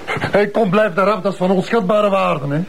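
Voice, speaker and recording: An actor playing an agitated, easily riled suspect with a Flemish accent, defensive, stammering and indignant in a TV crime drama. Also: male voice, sits high, moderate pace, vocal fry, a little hiss or hum. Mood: angry, indignant. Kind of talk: emotional screen acting in Flemish crime drama.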